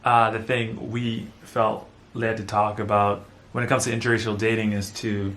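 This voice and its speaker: A man from the Bahamas co-hosting a relaxed chat with his wife, laughing easily and repeating words for emphasis. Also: lowish voice, moderate pace. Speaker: co-host. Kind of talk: casual couple chat.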